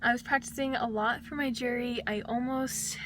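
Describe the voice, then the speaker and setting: Slightly hoarse chatty young woman. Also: fairly high pitch, fairly even intonation, normal speed, noisy background. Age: young adult. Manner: chatty, rambling with fillers and little laughs. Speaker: vlogger, voice student. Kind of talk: casual vlog talk to camera.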